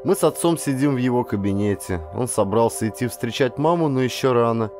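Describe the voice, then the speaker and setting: A man reading first-person literary fiction as an audiobook, with a dramatic, deliberate storytelling delivery. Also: mid-range voice, animated, over music. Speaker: audiobook narrator, actor.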